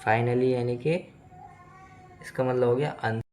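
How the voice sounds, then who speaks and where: A man's voice: mid-range voice, steady tone, measured pace, darkish tone, a little hiss or hum. A man with an Indian accent, a native Hindi speaker, teaching English in a bilingual lesson, explaining word by word and repeating phrases.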